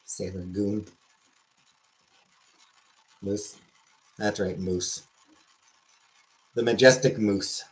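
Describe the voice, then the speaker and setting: A man's voice: fairly low pitch, fairly even intonation, extremely slow, long pauses, rough recording. A man hosting a livestream, rambling and joking as he reads chat messages aloud. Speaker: livestream host. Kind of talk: casual chat.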